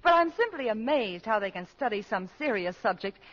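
Muffled 1940s radio recording, faint noise, flustered genteel mother, animated swings of pitch, hesitant little exclamations, radio-play acting. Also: brisk. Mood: chatty, pointed. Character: flustered, polite widowed mother posing as a college freshman.

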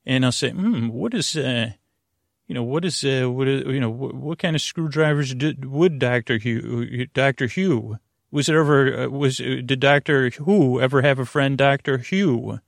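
Creaky-voiced man rambling at bedtime. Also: medium pitch, no echo, studio quality. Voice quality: creaky, gravelly. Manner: meandering, halting, full of restarts and tangents; whimsical, punning, silly asides. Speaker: sleep podcast host.

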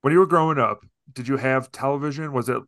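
A man's voice: medium pitch, quick pace, fairly bright tone, no room sound, clean studio sound. Friendly rambling podcast host man. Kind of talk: casual interview.

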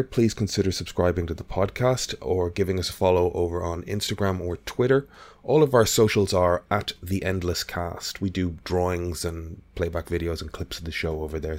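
A man's voice: low voice, a little mellow. A man hosting a podcast, reading an upbeat intro and leading casual chat. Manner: upbeat scripted intro, then loose banter. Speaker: podcast host.

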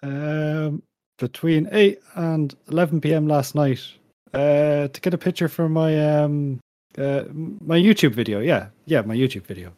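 A man's voice: fairly high pitch, measured pace, dark tone, no echo, studio quality, natural voice. A man playing a hesitant, sheepish suspect under questioning in an improvised comedy radio play, talking casually. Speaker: podcast host.

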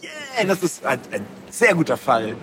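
A man's voice: on the high side, sing-song, unhurried, crowd noise. A man speaking casually as an interview guest, thinking aloud in a rambling way. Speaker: interview guest, graphic novel creator. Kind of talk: casual chat. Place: outdoors at a busy book fair. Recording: clearly noisy outdoor fair ambience.

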